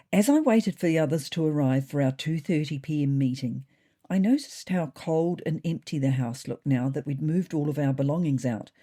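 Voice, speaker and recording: A woman's voice: low voice, dark tone. A woman voicing a thoughtful, neurotic, self-important male ginger cat who narrates his diary in a pompous, wordy way. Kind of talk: first-person storybook diary narration.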